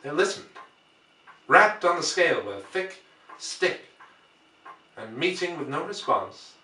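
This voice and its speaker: Male audiobook reader, mid-pitched and deliberate, scripted dramatic narration, echoey room. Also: sharp tone, a little hiss or hum. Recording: roomy, distant microphone.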